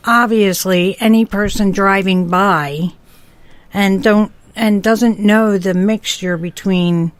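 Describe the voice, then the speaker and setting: A woman's voice: medium pitch, steady tone, takes it slowly, no echo. A woman, middle-aged or older, chatting casually as a podcast co-host. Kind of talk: casual chat. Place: home studio.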